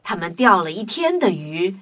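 A woman narrating a scripted children's story in an expressive storytelling manner. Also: fairly low pitch, moderate pace.

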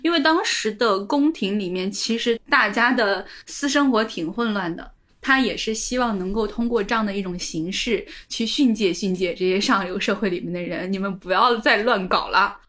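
A woman's voice: mid-range voice, moderate pace, few pauses, fairly bright tone. A woman co-hosting a talk show, explaining stories and history in a conversational, orderly way. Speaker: podcast co-host.

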